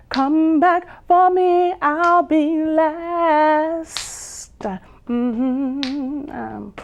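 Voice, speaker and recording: Slow, high-voiced woman poet, lo-fi. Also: a little hiss or hum. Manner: slow, drawn-out delivery with long pauses and hums. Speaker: poet and vocalist guest. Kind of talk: slow spoken-word poetry with sung lines. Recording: dull lo-fi sound.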